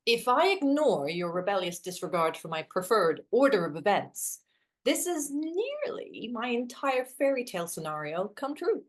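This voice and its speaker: An actress playing an awkward, literal-minded young woman in a play reading, a hopeless romantic with a hesitant, wry deadpan manner. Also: a little high, normal speed. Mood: dry, hopeful. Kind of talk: two-hander stage play reading.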